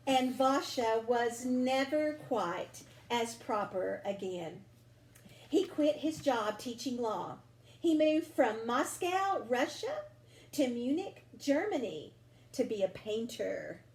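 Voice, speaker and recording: A woman reads a children's picture book aloud in a high, lively voice that rises and falls. She lingers on vivid words with dramatic, deliberate phrasing. The room gives her voice a noticeable echo. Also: slight background noise. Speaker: storybook reader.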